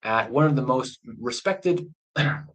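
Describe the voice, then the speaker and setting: A man teaches English by telling a true story, speaking slowly and plainly. His pitch sits in the middle range for a man. He pauses to explain words during a live stream. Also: lively intonation. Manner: slow, clear explanations of key words. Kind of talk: slow storytelling lesson for learners. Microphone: livestream microphone.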